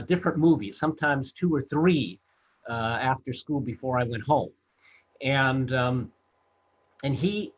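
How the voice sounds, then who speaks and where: A man in his sixties from the United States, a film director telling his story in an interview, halting with many fillers and restarts. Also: mid-range voice, lo-fi sound. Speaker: film director and producer, guest.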